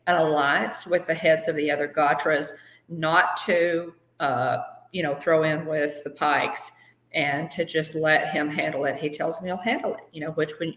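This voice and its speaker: A woman's voice: low-pitched, a bit bright, reverberant. A woman co-hosting a live fan show, chatting casually and greeting viewers by name, with plenty of fillers. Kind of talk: casual live-stream chat.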